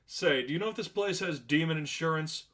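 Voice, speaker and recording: Man as a sardonic, bright-voiced demon hunter. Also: on the high side, brisk, pitched above the performer's own voice, natural voice. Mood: deadpan, flippant. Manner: matter-of-fact, deadpan, wordy and flippant. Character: sardonic, unflappable veteran male demon hunter. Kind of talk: dramatized fan fiction reading.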